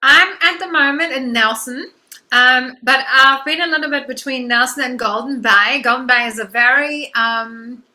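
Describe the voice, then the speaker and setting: German-accented woman in her thirties, guest coach on a video call; piercingly bright, slightly high voice, eager and filler-heavy. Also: moderate pace, rough recording. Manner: enthusiastic, many fillers like "um" and "you know". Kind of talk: casual video-call interview.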